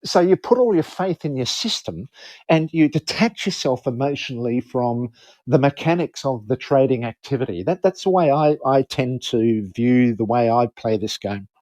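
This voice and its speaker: A man's voice: mid-range voice, animated, normal speed, warm tone, no echo. A man in a casual group chat, talking in a reflective and teasing way. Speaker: group member.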